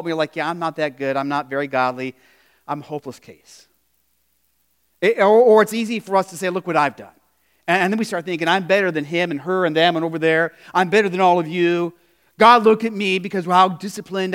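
A man with a high voice preaches a sermon to his congregation. He talks in a loose, conversational way, restarting phrases and throwing questions out to the listeners. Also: a bit bright, clear, resonant tone. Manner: conversational preaching with frequent "you know" and restarts. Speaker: pastor.

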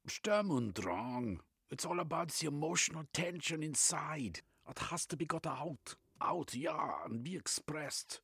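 A man voicing a flamboyant, pushy middle-aged German doctor with a German accent, excitable and lecturing. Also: fairly high pitch, measured pace, gravelly, hardly any pauses, breathy edge, no echo, clean studio sound, pitched above the performer's own voice, exaggerated voice.

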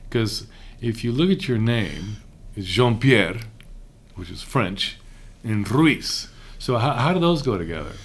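A middle-aged man from Houston hosting a relaxed conversation, chatty with frequent hesitations. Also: lowish voice, measured pace, slightly rough. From raised in Houston. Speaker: host, seminary professor. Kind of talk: casual conversation.

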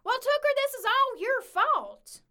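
A woman's voice: very high-pitched, very animated, a little slow, sharp tone, exaggerated voice. A woman playing a brash, bossy, jealous young-spirited Southern woman with a hot temper in an audio drama. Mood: furious.

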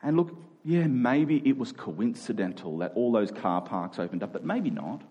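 A man's voice: mid-range voice, sing-song, normal speed, slightly rough, highs cut off. A man preaching a conversational sermon, earnest, repeating key phrases for emphasis.

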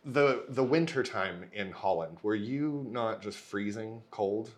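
Host role: man, lowish voice, friendly casual interviewer. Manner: friendly, quick follow-up questions.

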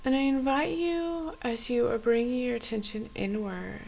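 A woman guiding a body scan meditation in a soft, often near-whispered voice, with gentle, unhurried phrasing and pauses. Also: a little high, vocal fry. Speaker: meditation guide. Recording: faint background hiss.

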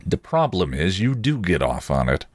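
Man voicing a cocky, goading vampire, animated delivery. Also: sits low, normal speed, dry recording, studio quality. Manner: provocative, insistent, goading. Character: cocky, taunting, passionate male vampire with British slang.

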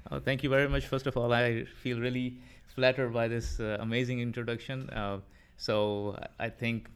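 Clear-voiced, halting Pakistani man. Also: mid-range voice, flat delivery. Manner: halting, hesitant delivery with frequent fillers. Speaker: interview guest, political commentator. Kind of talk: conversational podcast interview.

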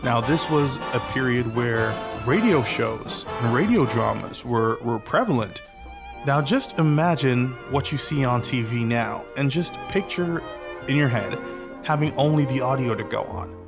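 A young man co-hosting a casual podcast, animated and jokey, with quick bursts of speech. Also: medium pitch, over music. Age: young adult. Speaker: podcast co-host.

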